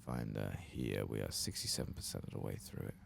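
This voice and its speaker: A man speaks in an extremely deep, softly hushed voice thick with creak. He narrates his game in ASMR style, halting as he goes, over faint background noise. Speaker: game streamer, ASMR host. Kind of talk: soft-spoken, whispered ASMR game commentary.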